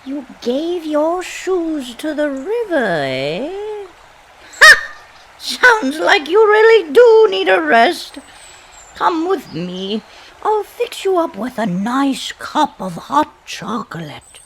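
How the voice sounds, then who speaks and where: A woman's voice: sits high, very animated, slow pace, fairly bright tone, heavily acted. A woman playing a kindly, insistent old woman in a fairytale audio play, with a fussing, folksy manner. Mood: jolly, insistent. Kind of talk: amateur audio play acting. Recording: faint background noise.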